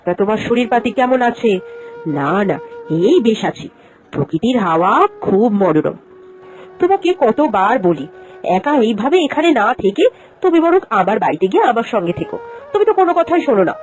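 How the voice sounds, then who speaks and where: A woman's voice: fairly high pitch, very animated, fairly quick, darkish tone, faint music behind, poor audio. A woman playing a caring, cautious village housewife, an elder sister-in-law, in a moral-story cartoon, speaking earnestly and expressively.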